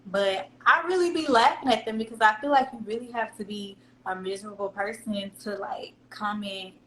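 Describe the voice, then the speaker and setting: A woman's voice: medium pitch, moderate pace, fairly bright tone. A woman with a Southern American accent, chatting candidly as an interview guest and laughing often. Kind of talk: casual interview chat. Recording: echoey room with faint hum.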